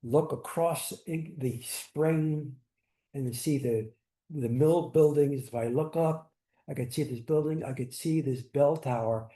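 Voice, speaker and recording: An older man telling personal stories on a community panel, halting and restarting, sprinkling in Yiddish words. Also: on the high side, dark tone. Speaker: synagogue founder on a panel. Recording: echoey room.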